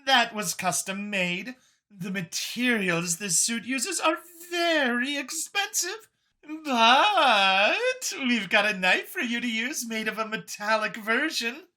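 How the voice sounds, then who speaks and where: Narrator doing alien lady researcher for a scifi audiobook, way up high, slow careful wording, very matter of fact. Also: lively intonation, sharp tone. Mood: explaining. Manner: instructing, precise, formal wording.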